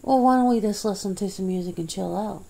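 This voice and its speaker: A woman's voice: mid-range voice, quick pace, vocal fry, dark tone, poor audio. A woman doing an ASMR roleplay at home, rambling chattily to an unseen partner with frequent laughs and fillers. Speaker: ASMR creator. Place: indoors at home.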